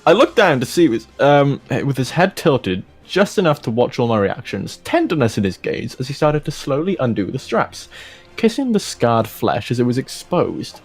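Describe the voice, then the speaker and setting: A man's voice: mid-range voice, big pitch swings, moderate pace, breathy edge, faint music behind. A man doing a jokey read-aloud of fan fiction, dropping in casual asides as he goes. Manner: breaks into laughter and commentary while reading. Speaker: host of a fan fiction reading video.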